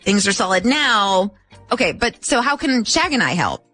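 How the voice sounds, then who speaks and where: A woman's voice: mid-range voice, big pitch swings, moderate pace, bright, forceful tone, faint music behind. A woman co-hosting a radio show, talking casually with a caller and drawing out details.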